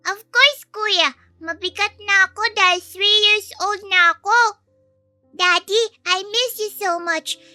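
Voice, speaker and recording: Casting: tiny spoiled princess in an audio story, extremely high-pitched, bubbly and pleading, very dry sound. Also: female voice, slow pace, sharp tone, dry recording, faint music behind, exaggerated voice. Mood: sweet, eager. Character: bubbly, demanding three-year-old girl, doted-on little princess.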